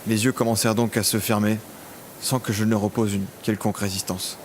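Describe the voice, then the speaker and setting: An actor playing a lonely, vengeful imprisoned villain in a scripted audio drama, speaking in brooding, literary monologue. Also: male voice, fairly low pitch, level tone, brisk, a little hiss or hum, lightly acted. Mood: haunted, sorrowful. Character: vengeful, lonely imprisoned villain, self-styled son of death.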